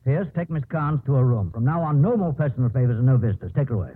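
An actor playing a stern, officious police sergeant in a 1950s radio drama. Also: male voice, medium pitch, animated, quick pace, slightly rough. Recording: muffled old radio broadcast with music.

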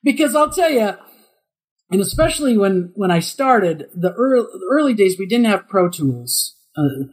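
A middle-aged man with a high tenor voice, a guest voice actor telling chatty anecdotes in an interview, laughing often and acting out the quotes. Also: very animated, a little slow, husky, rough recording. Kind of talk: casual interview storytelling.

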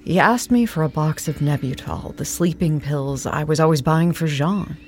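A woman playing a woman who recalls years of devoted servitude in a measured, confessional monologue for an audio drama. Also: deep voice, darkish tone, a little hiss or hum, close to an everyday voice. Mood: regretful. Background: music.

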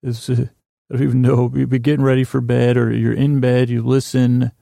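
A man hosting a bedtime podcast, talking in a halting, meandering, self-deprecating monologue. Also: mid-range voice, level tone, vocal fry, warm tone, no echo, studio quality. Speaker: podcast host.

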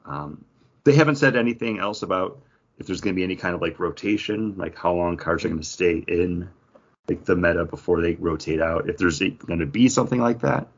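Man, very deep voice, husky, casual podcast explainer, lo-fi muffled recording. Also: fairly quick. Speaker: podcast co-host. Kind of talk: casual chat about games and comics.

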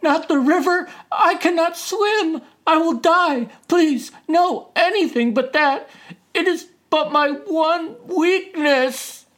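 Husky, scheming turtle chief in a folk tale, put on by a male storyteller, drawn-out lines swinging high and low. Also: animated. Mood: panicked. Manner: sly mumbling, then panicked pleading. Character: boastful cowardly male turtle war chief.

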